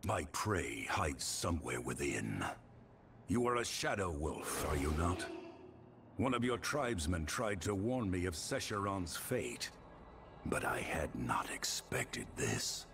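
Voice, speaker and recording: A resolute fantasy game warrior with a deep, breathy rasp. The delivery is slow and solemn yet swings widely in pitch. Music plays behind him. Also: male voice, sing-song, background noise, full character voice. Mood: grim, intent. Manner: solemn, measured. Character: grim, resolute adult male warrior hunting an enemy. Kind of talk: fantasy video game voice acting.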